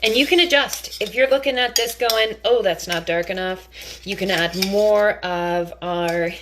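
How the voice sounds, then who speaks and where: A woman's voice: mid-range voice, unhurried, background noise. A woman giving a casual cooking demonstration in a chatty, theatrical, playfully enthusiastic way.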